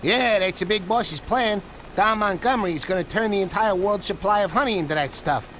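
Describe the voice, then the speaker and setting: A man voicing a smug, folksy hornet henchman who taunts in a drawling, mock-polite way in an animated game. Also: sits high, a little fast, a little hiss or hum. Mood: gloating. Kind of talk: animated game villain acting.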